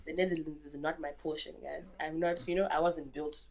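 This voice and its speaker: A young woman from Zimbabwe, a guest chatting casually on a podcast, playful and animated as she tells stories. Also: low voice, brisk, vocal fry. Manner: animated, playful storyteller with bursts of laughter. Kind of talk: casual chat.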